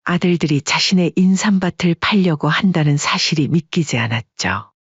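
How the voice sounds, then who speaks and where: A narrator reading a family drama in calm, measured scripted narration. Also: mid-range voice, breathy edge, no echo, lo-fi sound.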